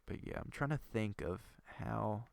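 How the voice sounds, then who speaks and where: A man's voice: on the low side, lively intonation, normal speed, vocal fry, no echo. A young man with a stuffy nose from a cold, rambling and thinking aloud with lots of fillers while commenting on his game. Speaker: gaming video creator. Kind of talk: casual gameplay commentary.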